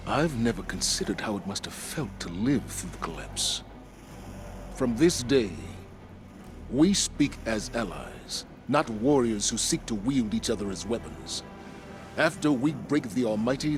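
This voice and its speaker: A grave, weary military commander in a sci-fi game cutscene. He speaks slowly and deliberately, like an orator, over prominent music. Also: lowish voice. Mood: somber, confessional. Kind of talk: dramatic video game cutscene acting.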